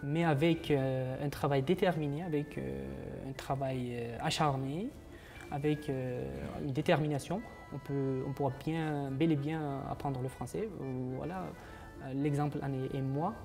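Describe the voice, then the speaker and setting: A man's voice: medium pitch, steady tone, measured pace, gravelly, darkish tone, faint music behind. A young man from Uzbekistan with an Uzbek accent, a guest on a TV morning show, answering in French as a second language with many hesitations and restarts. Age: 20s. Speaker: guest, master's student.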